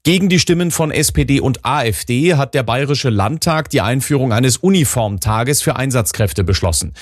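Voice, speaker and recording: Trocken aufgenommener Nachrichtensprecher, mittlere Lage. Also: male voice, mid-range voice, lively intonation, normal speed, no echo, studio quality. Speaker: radio newsreader. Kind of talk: formal scripted news bulletin.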